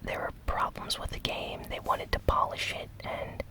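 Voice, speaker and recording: ASMR news host. Breathy whisper throughout, crisp bright edge, gentle deliberate phrasing. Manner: slow, gentle, deliberate delivery with short phrases. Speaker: host of a gaming news show.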